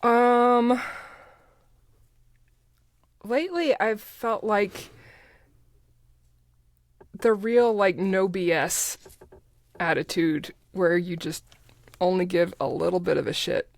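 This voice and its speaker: A woman's voice: mid-range voice, takes it slowly, long pauses, no room sound. A middle-aged woman, a podcast guest, talking in casual interview chat with an informal, self-deprecating manner. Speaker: podcast guest, voice actor and ad producer.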